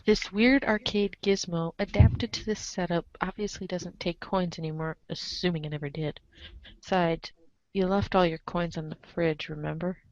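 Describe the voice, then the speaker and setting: A woman narrating a comic story aloud in an expressive read-aloud style, on a slightly dull recording. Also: fairly low pitch, normal speed, no echo. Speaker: narrator of a group webcomic reading.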